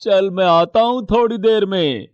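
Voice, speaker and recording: Male VO: tired aging cartoon fisherman, soft-spoken, unhurried. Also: sits high, highs cut off. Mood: worried. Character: aging poor male fisherman, weary and gentle. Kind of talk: cartoon story voice acting.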